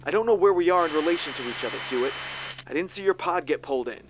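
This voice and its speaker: A man's voice: on the high side, expressive, quick pace, highs cut off, close to an everyday voice. A man playing a prickly, sarcastic tactical officer who argues with a rival in a science fiction audio drama. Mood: wary. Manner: sarcastic, argumentative. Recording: clear vehicle-like background noise.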